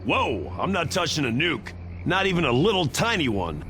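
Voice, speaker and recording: An actor playing a sarcastic, gruff tough-guy hero in a video game cutscene. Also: a little high, wildly swooping pitch, normal speed, fairly bright tone, slight background noise. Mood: alarmed. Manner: sardonic, wisecracking.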